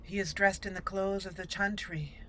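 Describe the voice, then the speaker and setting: A woman's voice: medium pitch, fairly even intonation, normal speed, fairly bright tone, slight background noise. A woman hosting a roleplay game playthrough, chatting casually with many fillers and restarts. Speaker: host playing a roleplay game. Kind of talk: let's play commentary.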